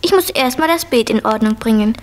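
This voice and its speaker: A woman's voice: on the high side, expressive, fairly quick, slight background noise, natural voice. A woman playing a curious, bossy four-year-old girl in a 1970s German children's radio play. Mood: eager, curious. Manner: inquisitive, insistent.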